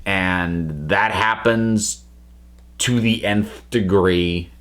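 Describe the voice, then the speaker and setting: A man giving an unscripted review to viewers, speaking emphatically and haltingly as he thinks aloud. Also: sits low, steady tone, a bit bright. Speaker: reviewer and channel host.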